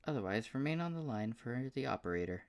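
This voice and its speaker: A man's voice: medium pitch, very animated, quick pace, pitched above the performer's own voice. A man voicing an automated phone system reading a formal greeting. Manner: formal, announcing.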